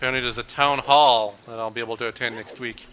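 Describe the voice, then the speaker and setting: A young man with a slightly raspy voice, giving casual, rambling commentary over a video game with frequent pauses and restarts. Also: medium pitch, steady tone, fairly bright tone, a little hiss or hum. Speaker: let's play commentator.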